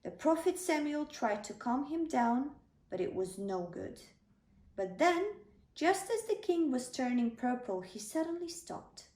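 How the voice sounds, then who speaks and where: Woman reading a kids bedtime story, soft mellow tone, echoey room. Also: sits high, very animated, unhurried. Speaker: storybook narrator. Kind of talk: read-aloud children's bedtime story. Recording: roomy, slightly distant microphone.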